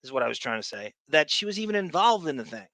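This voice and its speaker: A man with a bright, forward voice and a slightly higher pitch hosts a casual podcast chat. He rambles and searches for words as he comments. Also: big pitch swings, fast, no echo. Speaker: podcast host.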